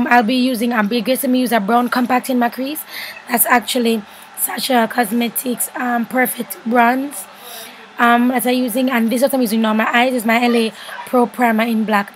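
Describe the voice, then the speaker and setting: A young woman talks through her makeup routine in a rambling, chatty voiceover, stopping now and then to correct herself. Her voice sits a little high but comes out hoarse and stuffy. A faint hum of room noise runs underneath. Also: poor audio. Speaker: beauty vlogger. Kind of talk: casual makeup tutorial voiceover. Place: home bedroom studio.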